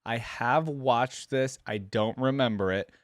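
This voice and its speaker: A man's voice: mid-range voice, a little slow, no room sound, studio quality. A man giving casual reaction commentary as the host of a video.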